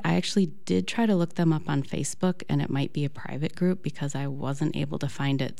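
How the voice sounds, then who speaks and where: Low, gravelly-voiced American woman host. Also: mellow. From United States. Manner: chatty, many "um" fillers. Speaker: podcast host. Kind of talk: casual interview.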